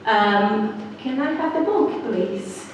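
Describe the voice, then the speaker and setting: A woman's voice: mid-range voice, expressive, takes it slowly, dull audio, slight background noise. A woman in her thirties from Brazil, a native Portuguese speaker with a Brazilian accent, giving a live talk with fillers and frequent little laughs. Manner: hesitant, giggly, many fillers. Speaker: guest speaker, journalist. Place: live event venue indoors. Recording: echoey hall, distant mic.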